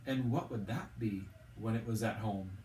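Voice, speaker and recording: A man's voice: on the low side, normal speed, warm tone, slight background noise, lightly acted. Guy playing a homely stubborn old-fashioned ghost mum from a storybook, fussy, roomy echo. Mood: suspicious. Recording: roomy, echoing, distant from the mic.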